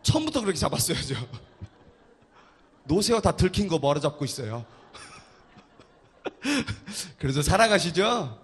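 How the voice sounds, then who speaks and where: Playful, high-voiced husky male pastor. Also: a little slow, pauses often. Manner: playful, joking with the congregation; energetic, coaxing crowd responses. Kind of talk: leading a church service.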